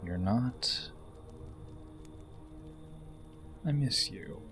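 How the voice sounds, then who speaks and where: Very low, menacing rebel villain. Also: male voice, animated, vocal fry, over music, exaggerated voice. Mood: taunting, intimate. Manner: slow deliberate menace, often hushed. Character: menacing rebel man, coldly taunting, possessively fond. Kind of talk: audio drama acting.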